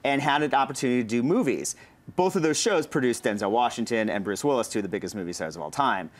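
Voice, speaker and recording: A man's voice: mid-range voice, animated, quick pace. A man chatting casually and giving his opinions as a panelist on a film talk show.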